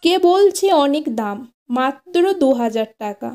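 A woman's voice: sits high, lively intonation, a little slow, darkish tone, faint music behind. A woman voicing a confident young female shopkeeper in a cartoon, persuasive and assured. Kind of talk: cartoon dialogue acting.

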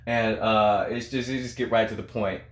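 A man's voice: on the low side, steady tone, moderate pace. A young man giving casual, emphatic commentary on music, often repeating words and phrases for effect. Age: young adult. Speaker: reaction video host, singer. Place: small room at home, indoors. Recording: echoey room.

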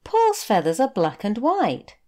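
A British woman voicing a small, earnest male puffin in a picture book, slow and emphatic. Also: a little high, wildly swooping pitch, mellow, pitched above the performer's own voice. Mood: insistent, forlorn.

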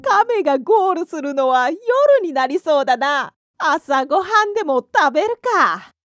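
A woman's voice: high voice, expressive, normal speed, fairly bright tone, soft background music, voice pushed up. A woman voicing a cocky, mocking male hare in a children's fairy tale, scoffing and boastful. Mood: smug.

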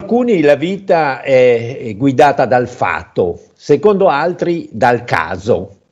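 An older man giving slow, reflective commentary over a video call, pausing and humming as he thinks. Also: mid-range voice, very animated, darkish tone. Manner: slow, reflective, with pauses and hums. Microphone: earphone mic on a video call. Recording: dull lo-fi video call audio.

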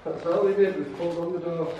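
A man's voice: a little high, fairly even intonation, a little fast, dull audio. A young adult man talking in a teasing, playfully contrary way while exploring an abandoned building. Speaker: vlogger's companion. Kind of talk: casual exploring chat. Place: inside a dark abandoned factory. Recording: echoey, distant, noisy.

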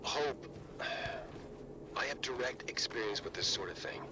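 A man's voice: medium pitch, measured pace, noisy background, lightly acted. A man voicing a wary game character who warns against dangerous power, in game dialogue. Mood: warning.

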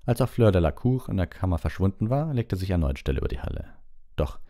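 Super low mellow guy reading stories on a stream, laid back and informal. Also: lively intonation, quick pace. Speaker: audiobook reader, livestream host. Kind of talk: narration and casual livestream chat.

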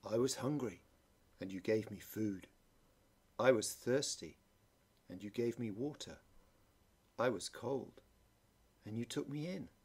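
A man voicing a calm, loving divine spirit in a storybook. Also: mid-range voice, measured pace, pauses often, breathy edge. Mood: solemn. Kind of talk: storybook dialogue reading.

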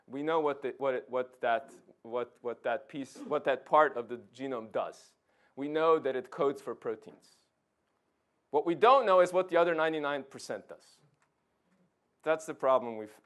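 A man's voice: medium pitch, a bit bright. A middle-aged man from Serbia gives an informal science lecture, conversationally checking in with his listeners as he explains. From Serbia, former Yugoslavia. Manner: conversational, checks in with "okay" and "right". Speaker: physics professor giving a public lecture. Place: indoor lecture room, talking beside a projection screen.

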